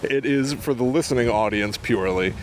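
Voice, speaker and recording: A man's voice: mid-range voice, fairly quick. A man co-hosting a podcast on location, chatting casually with jokey, slangy enthusiasm. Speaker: podcast co-host. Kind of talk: casual chat while sightseeing. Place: outdoors on location near traffic. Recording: noisy outdoor recording with traffic.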